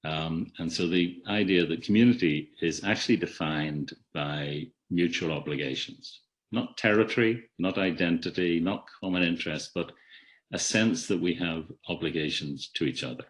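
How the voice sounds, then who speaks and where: A man giving a reflective academic talk in a webinar, measured, with frequent restarts and words that trail off softly. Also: low voice. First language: not an Irish speaker. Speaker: webinar speaker. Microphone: video call.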